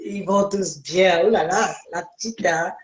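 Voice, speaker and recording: A woman hosting a casual social media live, insistent and quick to cut in. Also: on the low side. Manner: insistent, interrupting. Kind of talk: casual animated chat. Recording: echoey room sound.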